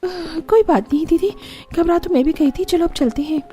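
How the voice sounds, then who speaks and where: A woman voicing a fed-up, scheming young daughter-in-law in a cartoon story, in a whiny, animated way. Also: very high-pitched, moderate pace, faint music behind, voice pushed up. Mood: relieved, chiding. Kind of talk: Hindi cartoon story character voice.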